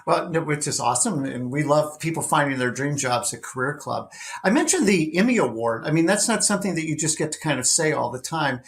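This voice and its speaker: A man's voice: fairly high pitch, animated, fairly quick. A man hosting a career podcast, asking friendly questions in an upbeat, encouraging way. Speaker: podcast host and interviewer. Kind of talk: conversational interview.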